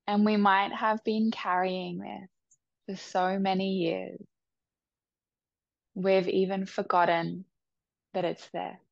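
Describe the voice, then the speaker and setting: A woman leading a guided meditation with soft, soothing instructions. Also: medium pitch, little pitch movement, gravelly, dry recording, highs cut off. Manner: soft, slow, soothing instructions with long pauses.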